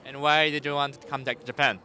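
A man's voice: mid-range voice, little pitch movement, quick pace, pushed and bright, a faint crowd, a little hiss or hum. A man interviewing passers-by on a busy city street at night, polite, with small restarts.

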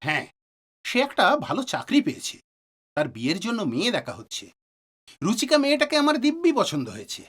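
A man's voice: high-pitched, huge pitch swings, quick pace, pauses often, breathy edge, full character voice. An actor playing a reassuring middle-aged male doctor and family friend in an audio drama, explaining with authority and some English words. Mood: assured, practical.

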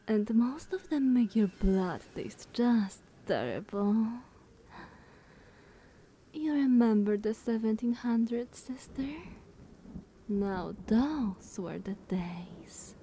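A woman playing a playful, teasing younger vampire sister in ASMR roleplay, sultry and breathy, often near a whisper, coy and purring. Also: fairly high pitch, lively intonation, takes it slowly, a little hiss or hum. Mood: amused, nostalgic. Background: soft ambience.

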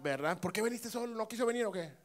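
A middle-aged man with a Mexican Spanish accent works the crowd from a small club stage, speaking into a handheld mic. His voice sits high, and his tone is brash and teasing, full of swearing and sudden loud shouts. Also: lively intonation, quick pace. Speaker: stand-up comedian working the crowd. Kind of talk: crowd work stand-up. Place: small comedy club stage with live audience.

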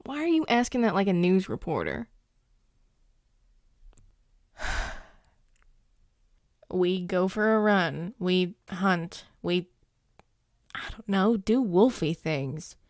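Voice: mid-range voice, lively intonation, takes it slowly, pauses often, dry recording. An actor playing a grumpy but caring werewolf boyfriend in an intimate audio roleplay, dry and exasperated, with sighs. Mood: sarcastic, teasing. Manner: sighing, dry, exasperated, teasing.

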